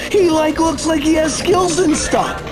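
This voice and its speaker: A goofy, cowardly young slacker for a cartoon game. He talks in slow, slangy phrases in a high voice, with loud music behind him. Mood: laid-back, goofy. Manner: slangy, laid-back, cracking into nervousness. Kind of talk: cartoon video game acting.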